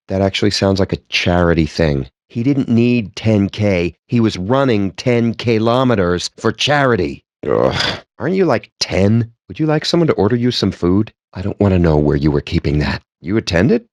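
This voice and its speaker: A smug, wry gamer man trading banter in a video game. His voice sits fairly low and moves with lively, expressive intonation. He is sardonic and verbose, relishing ornate words, and the sound is close and dry. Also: moderate pace, hardly any pauses, no room sound. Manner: sardonic, wordy, theatrically articulate.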